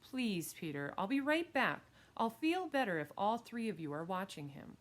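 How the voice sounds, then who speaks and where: A woman's voice: medium pitch, sing-song, normal speed, pitched above the performer's own voice, lightly acted. A woman voicing a harried, affectionate, anxious mother in a children's audiobook, fretful and coaxing. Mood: hesitant.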